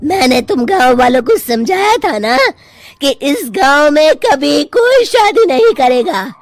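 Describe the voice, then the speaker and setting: A woman's voice: high voice, very animated, unhurried, no room sound, exaggerated voice. A woman voicing a menacing, vengeful witch ghost in a cartoon story, gloating as she threatens. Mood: threatening. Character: menacing vengeful female witch ghost haunting village weddings. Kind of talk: Hindi cartoon story voice acting.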